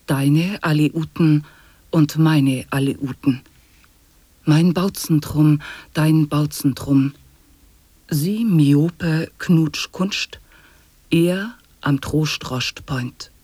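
An actor playing an eccentric chanter of nonsense sound poetry in an avant-garde radio play, husky and prone to whispering. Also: male voice, a little high, very slow, warm tone, no room sound, full character voice. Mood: incantatory, playful.

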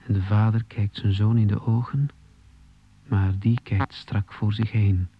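An actor playing an earnest imprisoned poet in a radio play, speaking in a halting, deliberate, poetic way with a husky, breathy voice. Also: male voice, on the low side, flat delivery. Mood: grave, intense. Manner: halting, deliberate, repeating phrases. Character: meek, earnest imprisoned poet, humbly explaining himself to authority. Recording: slightly dull 1980s radio recording.

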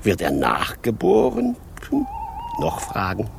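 Courteous devil, sly, obsequious, very animated pitch, unhurried delivery, radio drama, faint noise. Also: male voice, creaky, heavily acted. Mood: sly, explaining. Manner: drawn-out, obsequious, theatrical politeness. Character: sly, courteous male devil, mockingly polite. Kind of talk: radio play acting.

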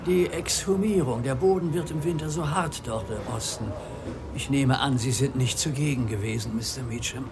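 An actor in a German radio drama playing an odd undertaker, earnest, brooding and insistent. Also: male voice, mid-range voice, moderate pace, noisy background, lightly acted. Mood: polite, guarded. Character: odd, obsessive male undertaker with medical ambitions.

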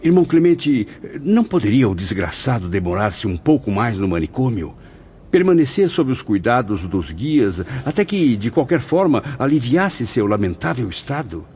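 A man's voice: mid-range voice, sing-song, moderate pace, a little mellow, breathy edge. A man playing an anguished, questioning lost spirit in a radio drama, pleading theatrically in formal language. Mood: troubled, pleading. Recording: dull lo-fi audio under music.

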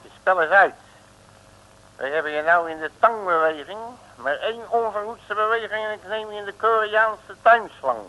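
An actor playing a cocksure, bumbling detective in an old radio comedy, full of slangy bluster and hesitations. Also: male voice, high voice, moderate pace, lo-fi sound, natural voice. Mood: threatening. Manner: hesitant fillers, folksy comic threats. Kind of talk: 1950s-60s Dutch radio comedy acting. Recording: noisy old radio recording.

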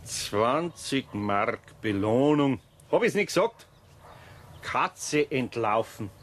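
A man's voice: medium pitch, lively intonation, slow, slight background noise. A man playing a patient, good-natured older craftsman with a Bavarian accent and a mildly grumbling manner in a children's radio play. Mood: explaining. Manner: homely, soothing, mildly exasperated.

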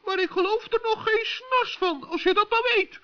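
Little male forest gnome: extremely high, chirpy, kindly, curious, gently scolding; muffled old radio-play sound. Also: normal speed. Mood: sceptical. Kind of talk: children's radio play acting. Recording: dull old radio recording.

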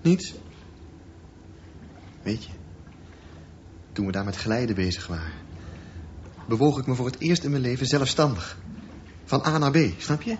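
A man's voice: medium pitch, measured pace, pauses often, dull audio, slight background noise, natural voice. An actor in a Dutch radio drama playing an earnest, dreamy and insistent sixteen-year-old boy.

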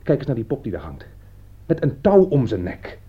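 A man's voice: medium pitch, huge pitch swings, normal speed, husky, heavily acted. A man acting in a radio play as an anxious, indignant friend turned accuser, agitated and reproachful. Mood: indignant, accusing. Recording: dull lo-fi radio recording.

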